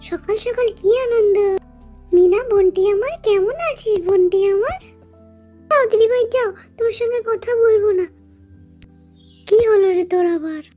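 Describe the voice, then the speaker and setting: A woman's voice: very high voice, warm tone. A woman voicing an affectionate, teasing village woman in a children's doll story. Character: chatty, affectionate village sisters and aunts, doll women.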